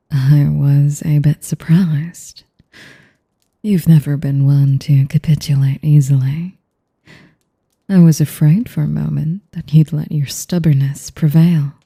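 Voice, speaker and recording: Vampire noblewoman, played by a woman for audio roleplay: sadistic, seductive, formal, dark velvety low register, hushed breathy delivery, dry close sound. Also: dry recording, full character voice. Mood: smug, courtly. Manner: slow, formal, teasing, savoring each phrase. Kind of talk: intimate audio roleplay addressed to the listener.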